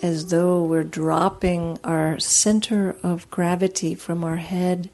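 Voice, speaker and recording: Slow, low-pitched woman guiding meditation. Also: flat delivery, a little hiss or hum. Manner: soothing, gentle, unhurried with long pauses. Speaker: meditation teacher leading a guided meditation. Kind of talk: guided meditation.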